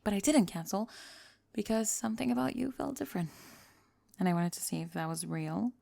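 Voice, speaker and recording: A woman's voice: medium pitch, a little fast, gravelly, dry recording. A woman acting a famous young pop star on a date in an ASMR roleplay, confiding and hesitant, kind and playful but world-weary. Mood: nervous, confiding. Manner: confiding, hesitant, playful, trailing into soft murmurs. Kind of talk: intimate ASMR roleplay audio, one-sided date conversation.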